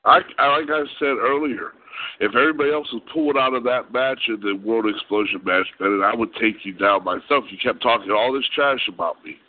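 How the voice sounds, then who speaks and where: Halting man on a tinny phone line. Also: mid-range voice. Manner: halting, repeats himself as he gets cut off. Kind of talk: trash-talking wrestling promo over a call.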